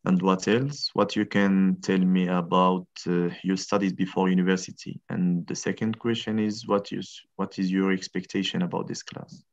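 A man's voice: sits low, little pitch movement, normal speed, few pauses. A young man, a lecturer running introductions on a video call in a friendly, encouraging way. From Morocco. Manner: friendly, encouraging, repeats questions. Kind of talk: online class introductions. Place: indoor room, tiled wall. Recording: dull lo-fi video call audio.

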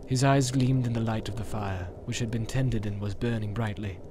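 A man's voice: fairly low pitch, steady tone, moderate pace, background noise. A man narrating an audiobook in a dramatic storytelling style.

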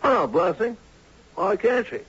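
A husky, formal official in a vintage radio play, authoritative yet uneasy. He speaks slowly in a fairly high male voice. The recording is dull. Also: big pitch swings, a bit bright, heavily acted. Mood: uneasy, placating. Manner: measured, formal, hedging. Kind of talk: 1970s radio drama acting.

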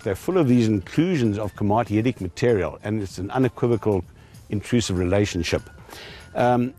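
An older male geologist speaks outdoors in precise, technical terms, sometimes restarting a phrase. His voice is mellow and sits at an average pitch. Faint music runs under him. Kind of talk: explanatory field talk. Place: outdoors in dry bush.

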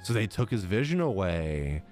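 A man's voice: low-pitched, normal speed. A young man giving casual, slangy live reactions to a game as a streamer, close on a desk mic. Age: young adult. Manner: expressive, slangy. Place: indoor gaming room. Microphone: close desk microphone. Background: game music.

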